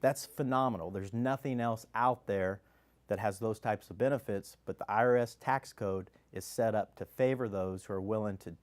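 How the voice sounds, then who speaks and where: Executive guest, male, low voice, blended Gulf and Midwest accent, upbeat pitch. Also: normal speed. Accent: blended Texas, Louisiana and Midwest. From Kansas. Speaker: oil company CEO guest. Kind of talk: sales pitch interview answers.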